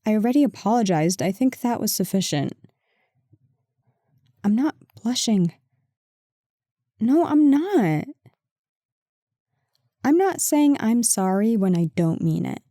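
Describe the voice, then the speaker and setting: A woman's voice: fairly high pitch, big pitch swings, slow pace, pauses often, warm tone, no echo, studio quality, exaggerated voice. A woman playing a defensive but affectionate girlfriend in an intimate audio roleplay, conversational and sarcastic, talking to a partner who is not heard. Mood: flustered, defiant. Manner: conversational, exasperated, sarcastic, addressing an unheard partner.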